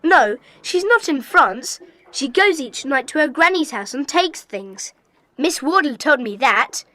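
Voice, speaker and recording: A schoolboy gang leader in a children's audio play. The voice is high and very lively, recorded close and dry. He is brisk, bossy and sure of his plans. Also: no room sound, heavily acted, poor audio. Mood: earnest. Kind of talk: children's audio drama acting.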